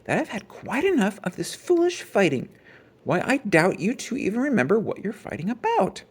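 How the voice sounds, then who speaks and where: A man's voice: high voice, wildly swooping pitch, moderate pace, slightly rough, pitched above the performer's own voice, exaggerated voice. A man voicing a mother bear in a children's storybook, stern and firm as she explains things.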